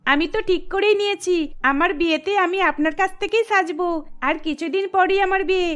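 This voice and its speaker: A woman's voice: extremely high pitch, fairly quick, a bit bright. A woman voicing a friendly young bride-to-be making a booking call in a cartoon, speaking plainly.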